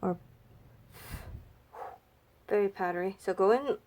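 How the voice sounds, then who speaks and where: A woman makeup vlogger chatting casually and thinking aloud, her voice a little raspy and stuffy from a cold. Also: medium pitch, a little slow, darkish tone. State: slightly raspy, congested from a cold. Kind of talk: casual makeup swatch review chat.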